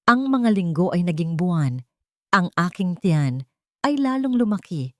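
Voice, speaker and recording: A woman's voice: fairly low pitch, big pitch swings, unhurried, no echo, clean studio sound. A woman reading a dramatic first-person story as an audiobook narrator.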